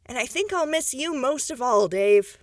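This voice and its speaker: A woman's voice: high voice, animated, normal speed, no room sound, studio quality. A woman voicing a folksy, chatty townswoman. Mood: friendly.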